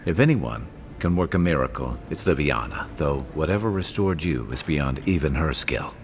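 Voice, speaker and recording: A man playing a gruff, wry and loyal travelling companion in a fantasy video game, talking in a conversational, dryly humorous way. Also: extremely low pitch, expressive, moderate pace, a little hiss or hum. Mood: relieved, concerned.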